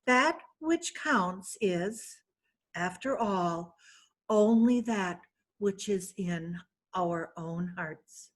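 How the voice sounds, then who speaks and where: A woman's voice: medium pitch, lively intonation, extremely slow. A woman reading the part of a carefree, coquettish young woman who talks in an airy, assured, playful way. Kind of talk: readers theatre reading.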